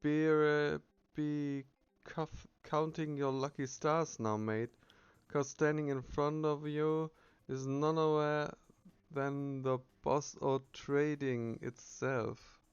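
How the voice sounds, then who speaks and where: A man's voice: a little high, steady tone, slow, smooth, no room sound, highs cut off. A man voicing a chubby talking bird merchant in a game, cheeky, grumbling and boastful. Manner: grumbling, boastful salesman patter.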